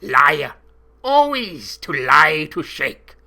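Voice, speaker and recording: A man's voice: sits high, wildly swooping pitch, gravelly, sharp tone, slightly rough, voice pushed up, exaggerated voice. A man voicing a brutal skinny male henchman who growls in broken English with a thick foreign accent. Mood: snarling. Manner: growling, slow and heavy.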